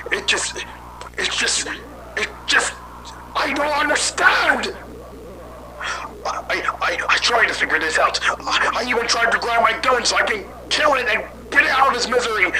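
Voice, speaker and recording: A man playing a guilt-ridden scientist in hiding, telling his story haltingly in an amateur horror audio drama. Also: fairly high pitch, poor audio. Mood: frantic, distraught. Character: guilt-ridden fugitive scientist father recounting his failed experiment.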